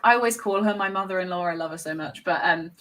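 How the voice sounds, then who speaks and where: A woman's voice: fairly low pitch, quick pace, a bit bright. A young English woman hosting a reading livestream, chatting casually with guests and laughing often as she rambles. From England. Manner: giggly, rambling, self-deprecating. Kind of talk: casual chat on a video call.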